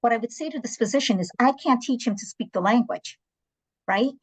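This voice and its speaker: A woman's voice: fairly high pitch, brisk, breathy edge, low-quality recording. A woman from Angola and South Africa whose first language is Portuguese, speaking candidly in a conversational panel talk and telling anecdotes with emphatic asides. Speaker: interpreter services guest.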